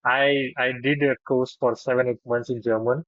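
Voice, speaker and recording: A man's voice: mid-range voice, little pitch movement, normal speed, no echo. Marathi-speaking man, talkative and opinionated, dry muffled call audio; casual call chat.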